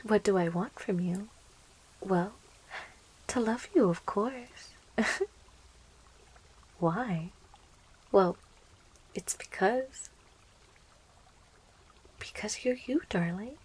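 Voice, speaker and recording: A woman voicing a sweet, clingy yandere plant girl in a soft, coaxing whisper for an ASMR roleplay. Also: medium pitch, a little hiss or hum. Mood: lovestruck. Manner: coaxing, cooing, giggly, slow.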